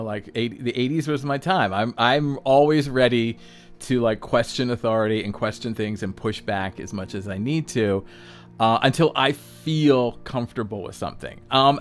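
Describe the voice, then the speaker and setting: Man, sixties, unscripted solo talk, frank and sweary, animated pitch, faint music behind. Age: 60s. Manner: blunt, profane, self-mocking, lots of "um" and "all right?". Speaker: podcast host, self-employed designer.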